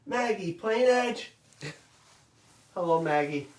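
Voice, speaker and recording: Loud chatty man in an echoey kitchen. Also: high voice, lively intonation, slow. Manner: loud, chatty, says "okay?" often. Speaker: cooking instructor. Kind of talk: live virtual baking class. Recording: roomy kitchen sound, mic at a distance.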